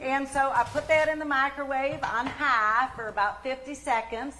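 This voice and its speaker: Woman as chatty, high-voiced homespun cook Molly McKitchen. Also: steady tone, moderate pace, background noise, close to an everyday voice. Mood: chirpy. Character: Molly McKitchen, chatty, thrifty homespun cooking-show housewife. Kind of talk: old-time radio comedy cooking show.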